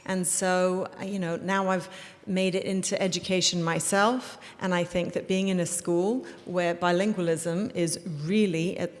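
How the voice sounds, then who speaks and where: A woman's voice: lowish voice, steady tone, a little slow. A woman from Hong Kong speaking as a school principal on a panel, earnest and full of fillers. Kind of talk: panel discussion on stage. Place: event hall before an audience. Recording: reverberant event hall.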